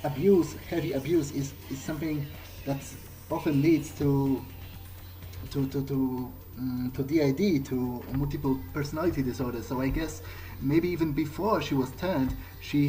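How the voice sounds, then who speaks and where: A man playing a bewildered, newly turned vampire in a video game, musing earnestly to himself in halting, hesitant phrases. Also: mid-range voice, steady tone, dark tone, faint music behind, natural voice, low-quality recording. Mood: pensive, troubled. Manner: halting, hesitant, searching for words. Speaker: video game player speaking in character. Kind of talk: in-character thinking aloud during a playthrough.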